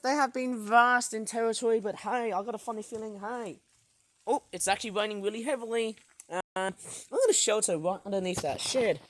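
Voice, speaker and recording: A hoarse vlogger with a sore throat rambles through a backyard garden tour, excitable and full of fillers. The voice sits at a middling pitch and sounds dry and close, picked up outdoors on a tablet. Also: no echo. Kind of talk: casual garden tour narration. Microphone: tablet filming.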